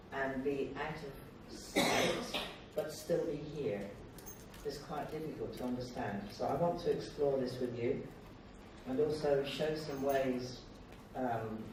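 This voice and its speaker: An older man with an Australian accent giving an informal public talk, conversational and self-deprecating, in short halting phrases. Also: mid-range voice. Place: indoor lecture hall. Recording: very echoey hall, distant mic, noisy.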